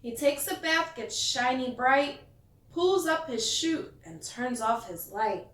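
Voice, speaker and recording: A woman with a fairly high voice reads a children's bedtime story at an unhurried pace. Her delivery is expressive and sing-song, leaning on the rhymes as a teacher would for young listeners. The sound is roomy and echoey. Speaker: teacher reading a picture book to children. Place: echoey indoor room. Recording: echoey room, distant mic.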